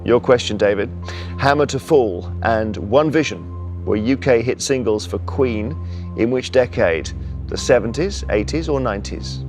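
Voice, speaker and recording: A man's voice: medium pitch, unhurried, soft background music. Upbeat man hosting a quiz show. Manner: upbeat, teasing, playful. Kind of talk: scripted game show presenting. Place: television studio.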